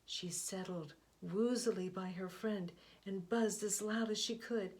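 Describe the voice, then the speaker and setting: A woman reading a picture book aloud, expressive, often dropping to a hushed whisper. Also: medium pitch. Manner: expressive, slow, hushed asides. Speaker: storybook reader.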